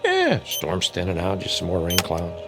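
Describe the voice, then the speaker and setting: An actor playing a joking, flippant airline co-pilot in a casual manner for a radio drama. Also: male voice, deep voice, huge pitch swings, normal speed, music in the background, full character voice. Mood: alert. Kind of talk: vintage-style radio drama acting.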